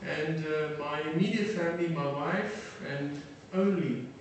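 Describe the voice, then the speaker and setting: A man's voice: on the high side, recorded from a distance. Middle-aged man from South Africa lecturing an audience, hesitant with many fillers, in a reverberant hall with faint noise. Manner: halting, many fillers and restarts. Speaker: defense attaché giving a lecture.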